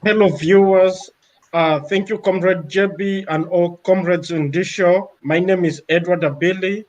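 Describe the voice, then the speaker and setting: A man's voice: high voice, fairly even intonation, a little slow, a little mellow, muffled recording. A man on a panel giving a brief, formal self-introduction. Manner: formal, greets viewers. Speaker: panel guest.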